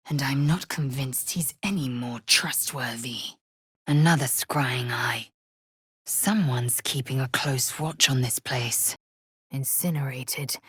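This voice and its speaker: Fantasy game role: proud, blunt warrior woman of a non-human race. Heavily rasped, breathy voice, imperious and unhurried, dry studio sound. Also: low voice, dry recording, exaggerated voice. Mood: terse, watchful. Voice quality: husky, raspy, breathy edge. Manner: clipped, imperious, disdainful, deliberate. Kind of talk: video game character voice acting.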